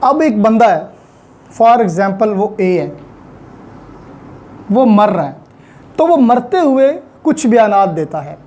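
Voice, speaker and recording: A man with a Pakistani Urdu accent giving a recorded law lecture, spelling out definitions slowly and deliberately. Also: sits high, big pitch swings, a little mellow.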